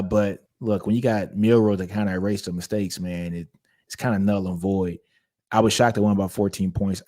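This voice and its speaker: A man from Louisiana, co-hosting a casual sports podcast in a relaxed storytelling way. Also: lowish voice. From Louisiana, USA. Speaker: podcast co-host.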